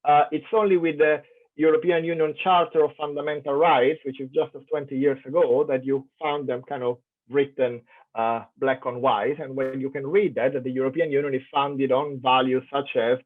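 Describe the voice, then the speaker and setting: A man's voice: mid-range voice, flat delivery, moderate pace. Italian man lecturing over tinny audio. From Italy. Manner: thoughtful, many fillers and restarts. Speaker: keynote speaker. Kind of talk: academic lecture. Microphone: online conference call.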